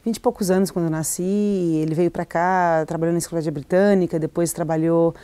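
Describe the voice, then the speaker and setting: Soft-toned woman recalling her past. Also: fairly low pitch, steady tone, fast, clear, resonant tone, dry recording. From Argentina. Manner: hesitant, many restarts and fillers, laughs often. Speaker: novelist, interview guest. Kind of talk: reflective interview answers.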